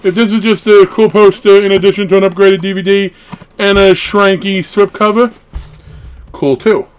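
A man's voice: high voice, steady tone, moderate pace, clean tone. A man in his thirties chatting casually through an unboxing, rambling and breaking off into asides as a hobby vlogger. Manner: rambling, self-interrupting asides. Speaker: horror collectibles vlogger. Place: small wood-panelled room at home, indoors.

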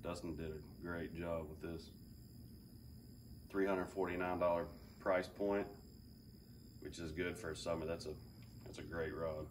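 A man in his thirties giving a relaxed, enthusiastic fishing gear review, thinking aloud with fillers in a big open garage. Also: deep voice, measured pace, pauses often. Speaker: fishing channel host. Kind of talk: casual product review. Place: large open garage with a boat. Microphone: camera several feet away. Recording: distant mic, faint ambient hiss.

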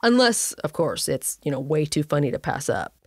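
Woman narrator, kinda low voice, reading scifi with wry chatty asides, dry close sound. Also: sing-song, moderate pace, a little mellow, breathy edge, no room sound. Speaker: narrator and writer of a sci-fi audio series. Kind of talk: scripted story narration.

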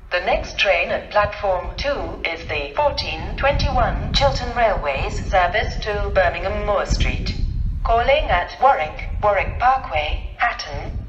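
A woman's voice: medium pitch, a bit bright. A woman delivers formal, automated railway announcements in separate recorded phrases. She comes through a public address speaker from a distance, dull and echoing. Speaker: station announcer.

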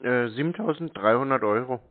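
A man's voice: medium pitch, fairly quick. A man in a phone conversation, answering curtly in a contrarian, provocative way. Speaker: prank caller baiting phone scammers. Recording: thin tinny phone line.

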